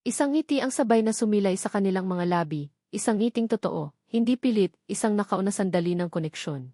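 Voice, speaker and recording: A woman narrator with a Filipino accent tells a romantic drama from a script. Her voice sits at a medium pitch in a very dry recording. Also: lively intonation, a little fast, warm tone, no echo. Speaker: story narrator.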